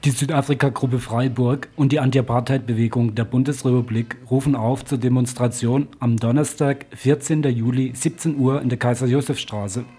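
A man's voice: medium pitch, steady tone, moderate pace, hardly any pauses, mellow, breathy edge. A man reading a scripted political news report on the radio.

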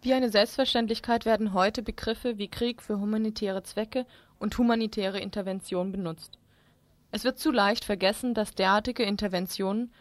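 A woman's voice: medium pitch, dry recording. A woman presenting a radio feature, reading formal scripted narration.

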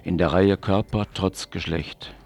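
Very deep-voiced man presenting radio listings. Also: steady tone, a little slow, vocal fry. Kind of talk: reading local event listings on morning radio. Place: radio studio.

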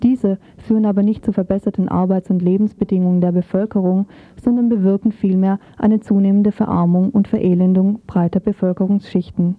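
A woman's voice: mid-range voice, fairly even intonation, brisk, hardly any pauses, dry recording. A woman reading a scripted report as a radio reporter. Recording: muffled, phone-like narrow band.

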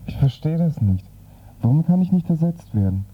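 An exasperated, despairing antihero played by a man in a comic radio play. He is gruff and fretful with swooping intonation, heard from a distance through a muffled recording. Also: on the high side, very animated, moderate pace. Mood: bewildered, despairing.

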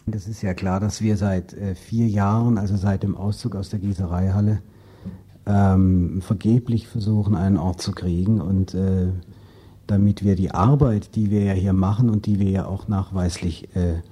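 A man giving a spontaneous, halting interview answer in a radio studio, repeating words as he explains. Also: low-pitched, steady tone, mellow, slightly rough. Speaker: interview guest, cultural association representative.

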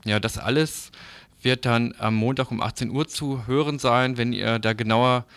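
A man reading scripted radio news previews as a presenter, in a formal, measured manner. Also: medium pitch, steady tone, a bit bright, smooth, no echo.

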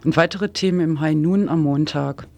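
A man's voice: on the high side, normal speed, vocal fry, background noise. A man presenting on radio, reading a scripted preview of upcoming news topics. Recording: music playing under the voice. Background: music.

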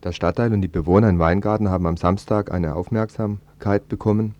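A man's voice: lowish voice, fairly even intonation, a little fast, darkish tone. A man delivering a scripted news report as a local radio reporter. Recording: slightly dull lo-fi radio recording.

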